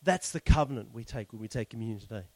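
A man's voice: medium pitch, fast talker, no room sound, low-quality recording. A male pastor leading communion, preaching in a fervent, declarative way with lots of repetition.

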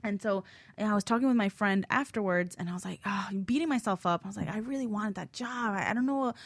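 A woman telling a personal story on a talk show, acting out her own past thoughts in a lively way. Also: medium pitch, fast talker, no echo. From Miami. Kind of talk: casual storytelling.

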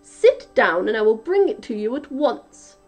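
A giantess housewife from a storybook, put on by a woman, speaks in a high, emphatic voice. She is brisk, bossy and quick to scold. Music accompanies her. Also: very animated, full character voice.

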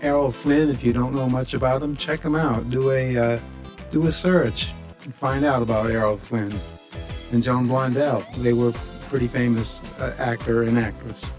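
A man's voice: mid-range voice, fairly even intonation, darkish tone. Informal man introducing over music. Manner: informal, halting, repeats phrases. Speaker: host of an old-time radio program. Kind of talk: casual spoken introduction.

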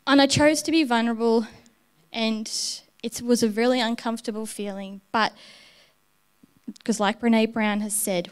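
A woman's voice: fairly high pitch, clean tone. A woman preaching a sermon in church, telling a personal story in a candid, heartfelt way.

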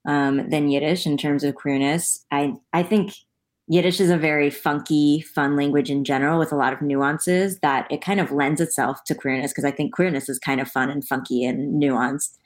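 Low-voiced woman explaining thoughtfully. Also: fairly even intonation, moderate pace. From United States. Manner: thoughtful, explanatory, frequent ums. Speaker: guest. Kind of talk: conversational interview.

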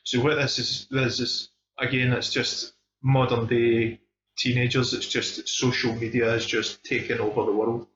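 A man's voice: medium pitch, flat delivery, moderate pace, background noise. Scottish guy chatting films, really keen and emphatic, roomy echoey sound, bit muffled. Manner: enthusiastic, emphatic. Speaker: film discussion podcast host. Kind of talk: casual film review chat. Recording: dull, echoey room recording.

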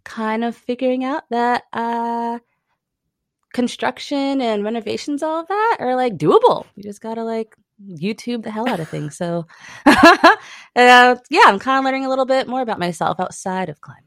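A woman's voice: a little high, expressive, moderate pace, fairly bright tone, no room sound. Young woman, climbing guide, chatty, laughs a lot, dry close sound. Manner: chatty, laughs often, lots of "like". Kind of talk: casual interview chat.

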